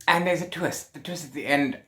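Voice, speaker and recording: A man's voice: a little high, fairly quick, fairly bright tone, no room sound. A man chatting casually as a book vlogger, rambling and repeating words and phrases for emphasis. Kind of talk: casual chat to camera about reading. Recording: clear background noise.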